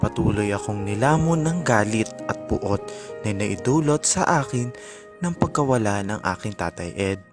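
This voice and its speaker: A man's voice: lowish voice, lively intonation, moderate pace, natural voice. A man playing a radio DJ who reads out a regretful confessional letter as scripted drama narration. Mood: somber. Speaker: radio DJ reading a listener's letter. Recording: prominent background music.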